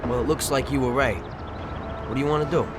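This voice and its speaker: An actor playing an excitable young gangster who talks in street slang and breaks into sudden outbursts. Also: male voice, medium pitch, fast talker, lightly acted. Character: excitable young male gangster, tempted by loot. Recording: game audio with street noise.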